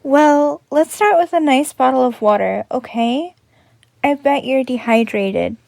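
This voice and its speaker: A woman's voice: high-pitched, a little slow, gravelly, darkish tone, no room sound, clean studio sound. A woman playing a wary but caring bookstore owner in an audio drama, blunt and no-nonsense in manner. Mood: concerned.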